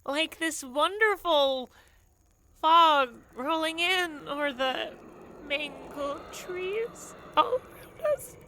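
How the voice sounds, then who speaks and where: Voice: high-pitched, lively intonation, extremely slow, pushed and bright, slight background noise, full character voice. An actor playing a timid, bumbling male camp counselor in a light-hearted audio drama, stammering and hesitant. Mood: nervous, stammering.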